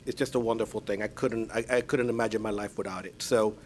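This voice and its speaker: A man whose first language is Spanish, chairing a school board meeting and speaking earnestly in halting phrases. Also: lowish voice, fairly even intonation, slight background noise. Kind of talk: public meeting remarks.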